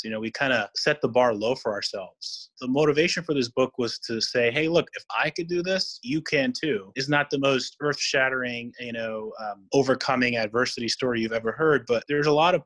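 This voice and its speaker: A man's voice: medium pitch, a bit bright, low-quality recording. A middle-aged man, an interview guest and surgeon, explaining things conversationally and earnestly on a video call. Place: indoor room, on a video call.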